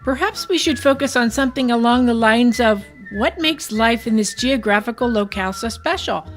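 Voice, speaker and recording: A woman playing a quick-witted, reasonable companion with dry asides in scripted comedic radio theatre. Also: on the high side, soft background music, close to an everyday voice. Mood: thoughtful.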